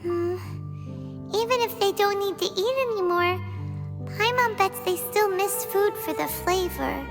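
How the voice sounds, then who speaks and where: A woman's voice: very high-pitched, measured pace, creaky, music in the background, full character voice. A woman voicing a tiny, chatty fairy-like girl companion in a video game, who talks about herself in the third person. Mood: musing.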